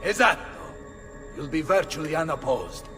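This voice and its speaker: An actor playing an expressive, courtly Italian mercenary leader in a video game, speaking with an Italian accent. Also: male voice, fairly high pitch, normal speed, long reverb tail, over music. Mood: pleased, scheming. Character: theatrical, warm Italian mercenary leader, adult man. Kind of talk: video game cutscene acting.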